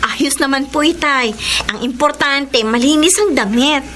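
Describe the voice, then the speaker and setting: An actress playing an eager, respectful teenage daughter in a radio drama, with a youthful, coaxing manner. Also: female voice, high-pitched, unhurried, sharp tone, a little hiss or hum. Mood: helpful. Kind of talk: Tagalog radio drama acting.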